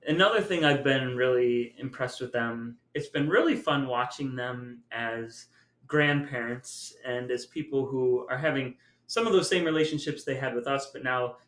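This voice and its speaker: An echoey room surrounds a middle-aged man with an average-pitched voice. He speaks earnestly as a podcast host, in a reflective, conversational way. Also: normal speed, a bit bright.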